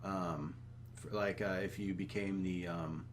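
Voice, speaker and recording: A man's voice: low voice, fairly even intonation, measured pace, slight background noise. A man chatting casually about games as a podcast co-host, offhand and profane. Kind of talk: casual gaming chat.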